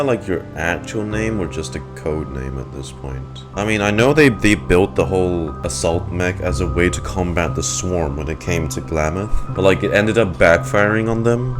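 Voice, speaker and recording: A man with a low voice talks through a game story as a streamer. He is casual and halting, thinking aloud, with loud music playing behind him. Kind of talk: casual playthrough commentary.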